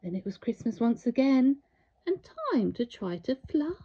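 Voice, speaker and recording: Animated woman reading a children's story. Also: a little high, husky, dull audio. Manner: theatrical, deliberate, storytelling. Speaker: storybook reader. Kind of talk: expressive children's read-aloud narration.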